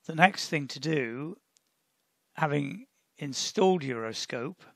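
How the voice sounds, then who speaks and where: A man narrating a step-by-step software tutorial in a deliberate, instructional way, often pausing mid-sentence. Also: on the high side, lively intonation, no room sound. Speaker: tutorial presenter.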